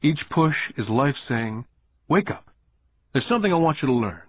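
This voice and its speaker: A man voicing a calm, shrewd older businessman who lectures a boy in a measured, quietly knowing way. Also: mid-range voice, pitched above the performer's own voice, lightly acted. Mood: teaching. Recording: tinny phone-like band.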